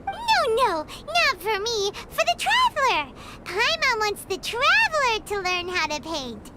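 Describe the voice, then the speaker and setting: A woman voicing a tiny, excitable fairy-like girl companion in a video game, with a squeaky childlike voice and an eager manner. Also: unhurried, heavy background noise, exaggerated voice. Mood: eager, upbeat. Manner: refers to self in third person, eager. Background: background music.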